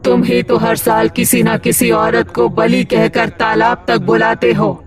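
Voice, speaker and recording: A woman's voice: a little high, little pitch movement, a little slow, lightly acted. A woman voicing a vengeful, defiant ghost of wronged village women, accusing and dramatic, in a horror story. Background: loud music.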